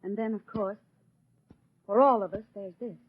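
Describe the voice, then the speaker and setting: An actress playing a resolute American housewife and mother, delivering a solemn, measured 1940s radio monologue with an American accent. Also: medium pitch, fairly even intonation, crowd noise, natural voice. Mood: wry, reflective. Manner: solemn, measured, declamatory oratory. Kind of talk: 1940s radio acting, dramatic poetic monologue. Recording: muffled old radio broadcast, faint music.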